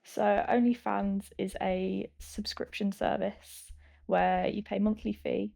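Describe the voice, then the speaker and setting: Very gravelly young woman. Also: medium pitch, steady tone, a little slow, dark tone. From Manchester. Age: 20s. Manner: plain, matter-of-fact.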